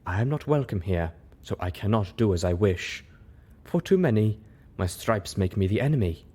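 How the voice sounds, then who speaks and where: A man's voice: lowish voice, expressive, normal speed, dark tone, husky. A man voicing a stoic, resigned female zebra outcast in a storybook, intoning her words formally and plainly. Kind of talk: storybook character voice.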